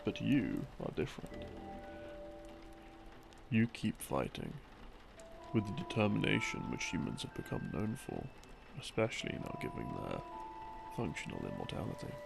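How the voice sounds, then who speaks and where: Low, very gravelly man rambling softly to sleep. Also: wildly swooping pitch. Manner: halting, unhurried, musing, trailing off. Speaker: audio creator playing a rambling boyfriend. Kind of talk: sleep-aid ASMR ramble, explaining from memory. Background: soft background music.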